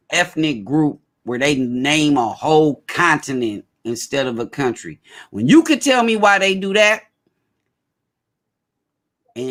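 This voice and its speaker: A man's voice: fairly high pitch, fairly bright tone. A man hosting a casual talk show, speaking emphatically and often repeating his points. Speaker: host.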